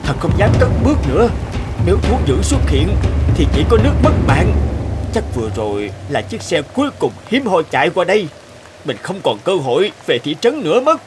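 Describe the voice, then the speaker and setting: A man's voice: high-pitched, big pitch swings, a little fast. A man playing an anxious, grateful middle-aged trader stranded on his travels, in plaintive cartoon voice acting. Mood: desperate, pleading, then weary, worried. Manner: plaintive, exclamatory. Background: music.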